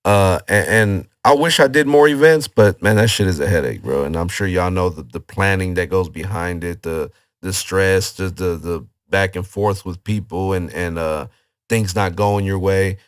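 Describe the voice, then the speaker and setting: A man, hosting and organizing events, talking casually with a hoarse voice and loose slang. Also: deep voice, normal speed. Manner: relaxed, loose slangy talk. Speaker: podcast host and event organizer. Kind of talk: casual interview chat.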